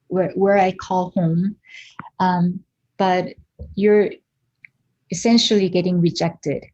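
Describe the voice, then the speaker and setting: A woman from South Korea with a Korean accent, speaking as a guest artist in an interview in a thoughtful, halting way with many fillers and restarts. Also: fairly low pitch, mellow, lo-fi sound. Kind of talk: reflective interview talk.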